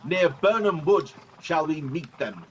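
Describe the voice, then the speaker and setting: An amateur actor playing a grave rebel nobleman in a Shakespearean radio play, measured and declamatory. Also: a little high, animated. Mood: grave, resolute. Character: grave Scottish noble rebel soldier condemning a tyrant. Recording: muffled, noisy video-call audio.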